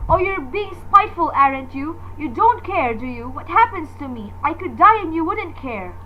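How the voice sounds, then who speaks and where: A woman's voice: sits high, expressive, moderate pace. An actress playing a nervous, imperious married woman in a suspense radio drama, indignant, demanding and melodramatic as panic takes hold. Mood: hysterical. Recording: dull lo-fi recording with faint noise.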